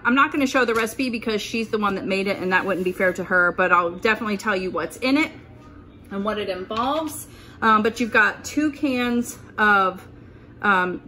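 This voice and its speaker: A woman's voice: medium pitch, pushed and bright, a little hiss or hum. A woman talking informally and thinking aloud as she hosts a casual meal-prep demonstration at home. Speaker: host of a keto cooking channel. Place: indoors at home, kitchen work surface.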